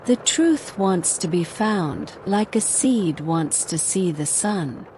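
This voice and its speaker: Ancient forest spirit, played by a woman storyteller: wise, gentle, slow, rustling; noisy recording. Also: fairly low pitch, expressive. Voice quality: rustling, leafy.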